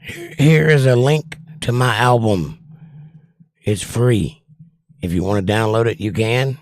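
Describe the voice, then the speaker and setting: A man's voice: medium pitch, unhurried, dry recording, studio quality. An older man, casually reading viewer comments aloud on his livestream and answering them. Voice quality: voice worn from years of trouble. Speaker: livestream host reading chat.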